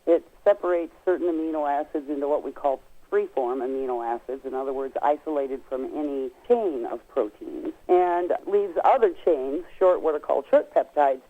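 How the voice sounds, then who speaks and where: A woman's voice: low-pitched, moderate pace, few pauses. A woman guest expert on a telephone, giving explanatory answers in an emphatic, folksy way and stretching key words. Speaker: guest expert on nutrition products. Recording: tinny narrow-band telephone line.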